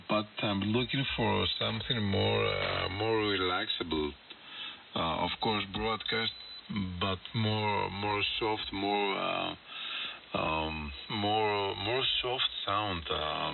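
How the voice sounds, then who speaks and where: A man with a Greek accent chatting casually as an amateur radio operator, halting and searching for words, over a shortwave radio link. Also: fairly low pitch, vocal fry, far from the mic. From Greece. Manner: halting, searching for words in non-native English. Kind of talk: casual ham radio conversation. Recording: hissy, muffled shortwave radio transmission.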